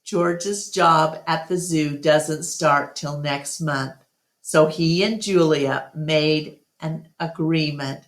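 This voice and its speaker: Lady reading a storybook out loud, real slow n measured, lowish voice, echoey room. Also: fairly even intonation. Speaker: storytime reader. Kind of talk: read-aloud storybook narration.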